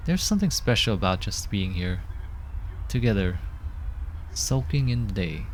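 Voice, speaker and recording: A man's voice: on the low side, big pitch swings, normal speed, a little hiss or hum. A man playing an affectionate, playful boyfriend in a scripted ASMR roleplay, speaking with whimsical mock grandeur. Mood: playful, tender. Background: park ambience sound effects.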